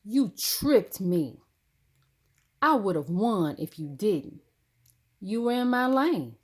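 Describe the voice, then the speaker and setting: A woman's voice: medium pitch, very animated, takes it slowly, slightly rough, voice pushed up, full character voice. A woman voicing a proud, scrappy young girl in a children's storybook, defiant and emphatic. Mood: accusing. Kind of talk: children's storybook read-aloud.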